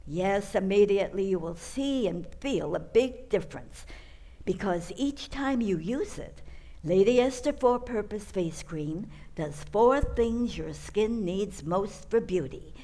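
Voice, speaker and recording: A woman reading a scripted commercial in a 1940s radio style, measured and persuasive. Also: medium pitch, lively intonation, mellow. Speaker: advertisement announcer.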